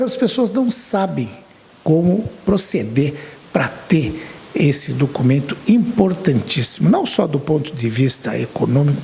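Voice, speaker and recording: Gravelly formal TV host. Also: male voice, medium pitch, a little mellow, a little hiss or hum. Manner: formal, expansive, rhetorical. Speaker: TV talk show host. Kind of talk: studio TV interview.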